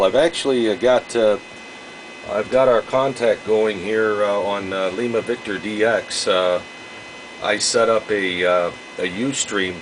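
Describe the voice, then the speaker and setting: Casting: male ham radio operator, lowish pitch, hesitant casual delivery, noisy humming recording. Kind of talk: casual radio contact chat.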